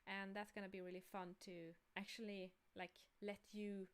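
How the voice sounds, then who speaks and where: A woman co-hosting a livestream, explaining a project informally and haltingly, often correcting herself. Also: mid-range voice, little pitch movement, clear, resonant tone. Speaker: livestream co-host and game creator. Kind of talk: casual livestream talk about a project.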